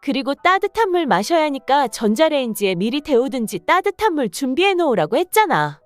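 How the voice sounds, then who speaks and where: A woman playing a sharp, complaining young wife. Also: high-pitched, very animated, a little fast, no room sound, soft background music. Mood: irritated. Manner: accusing, complaining. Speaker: voice actor reading the wife's lines. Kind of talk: scripted radio drama reading.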